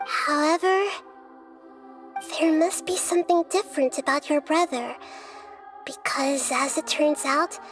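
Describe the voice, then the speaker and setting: A high, girlish voice a small, wise young goddess in an anime video game. She is gentle and kind, choosing words with measured, reflective care, with music playing behind her. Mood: serious, thoughtful.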